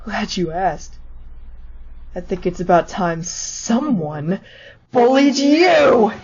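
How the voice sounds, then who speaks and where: A woman voicing a vengeful skeleton who taunts with menacing puns in an animated comic dub. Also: mid-range voice, slow pace, husky, exaggerated voice. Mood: sinister teasing. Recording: lo-fi audio under loud music.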